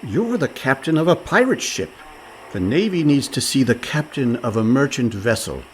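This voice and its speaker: An actor playing a quick-witted, placating con man who poses as a pirate in a comedic radio play. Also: medium pitch, very animated, slight background noise, lightly acted. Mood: confident. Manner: wry, placating, articulate.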